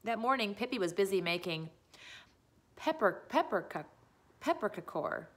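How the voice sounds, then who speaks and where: A woman narrates a children's book. Her voice is of middle pitch. She reads aloud in the style of a storyteller. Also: very animated, a little slow.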